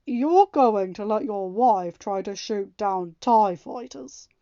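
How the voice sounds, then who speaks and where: A woman voicing a brash, irritable male starship captain, slow and gruff. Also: medium pitch, warm tone, no echo, dull audio. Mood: irritated.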